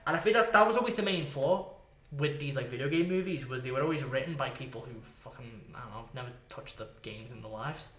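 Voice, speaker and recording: A man chatting casually as a podcast co-host, excitable, rambling and sweary. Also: mid-range voice, fast. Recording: echoey room.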